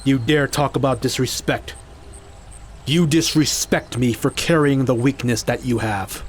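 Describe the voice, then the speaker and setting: Stern, proud martial artist, acted by a man, mid-pitched. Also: slight background noise, natural voice. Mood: scornful. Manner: stern, deliberate, blunt. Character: proud, unyielding male martial artist living in seclusion. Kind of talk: audio drama acting.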